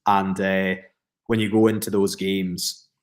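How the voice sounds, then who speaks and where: A man's voice: deep voice, flat delivery, takes it slowly. A Scottish man, a professional footballer, giving long reflective answers in a podcast interview, with frequent fillers and restarts.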